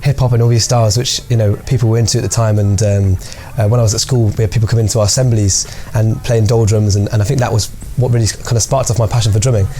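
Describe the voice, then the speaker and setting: A man's voice: fairly low pitch, fast, hardly any pauses. A young man from Birmingham, a drummer talking about his music in an easygoing interview, with frequent fillers. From Birmingham, England. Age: young adult. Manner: relaxed, rambling with fillers and restarts. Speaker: percussionist. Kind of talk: interview answers.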